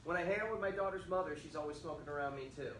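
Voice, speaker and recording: A man's voice: a little high, very fast. A Midwestern American man talking casually in a bar, rambling with lots of fillers. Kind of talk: casual chat. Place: bar and grill. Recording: echoey bar, far from mic, background noise.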